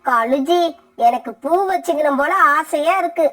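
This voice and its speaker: A selfish female crow played by a woman with a high voice. She is a scheming, demanding thief in a children's cartoon tale. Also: normal speed, fairly bright tone. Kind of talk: animated moral story dialogue.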